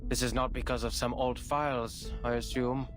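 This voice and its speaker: A man's voice: mid-range voice, level tone, normal speed, natural voice. An actor playing a world-weary human man in a game, dry and sarcastic. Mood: sardonic. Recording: game audio with music behind.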